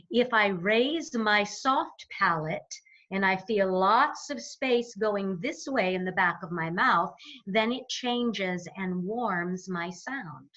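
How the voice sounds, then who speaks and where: Bright-voiced woman coaching on voice, slightly dull recording. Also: a little high. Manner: demonstrative, explanatory, deliberately varies resonance. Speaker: voice coach guest. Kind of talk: instructional interview explanation.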